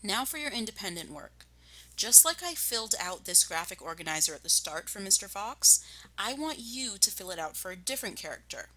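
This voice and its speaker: A woman's voice: mid-range voice, sing-song, a little fast, bright, forceful tone, low-quality recording. A woman primary school teacher reading a children's story expressively and addressing her pupils. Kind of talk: expressive children's storybook read-aloud and lesson.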